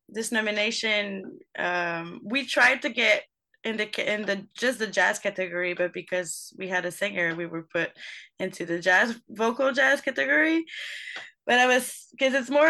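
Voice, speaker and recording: A woman's voice: medium pitch, vocal fry, sharp tone. A woman trumpet player answering interview questions, searching for words and often restarting her sentences.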